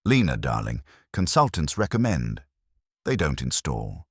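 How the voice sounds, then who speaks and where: Man acting condescending corporate man, evasive, glib, low husky voice, audiobook mystery. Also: wildly swooping pitch, measured pace, dry recording, clean studio sound, exaggerated voice. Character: smooth, evasive male corporate consultant, condescending and slippery.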